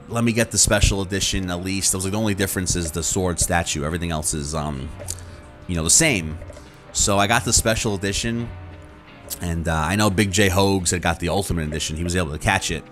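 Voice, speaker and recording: A man hosting a gaming channel, talking casually and off the cuff in a rambling, halting way with repeated words. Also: low-pitched, a bit bright. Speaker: YouTube gaming channel host. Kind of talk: casual, unscripted chat to viewers.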